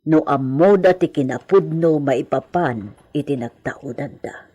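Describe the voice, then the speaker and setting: A woman playing a stern, worried elderly mother of a hacienda family in an Ilocano radio drama, speaking deliberately with dramatic pauses. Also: lowish voice, dark tone, breathy edge, full character voice.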